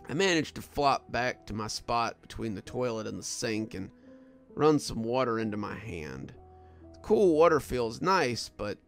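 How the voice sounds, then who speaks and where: A man voicing a wounded, delirious prison guard sergeant in a horror story, speaking in a weary, halting, frightened way. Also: fairly low pitch, creaky, heavily acted. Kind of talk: first-person horror story narration.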